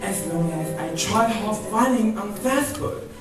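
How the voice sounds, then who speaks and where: A woman's voice: medium pitch, expressive, measured pace, lightly acted. Woman as earnest veteran father, echoey, music behind. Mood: earnest, grateful. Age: young adult. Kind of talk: student radio drama acting. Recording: very echoey hall, distant mic, music behind.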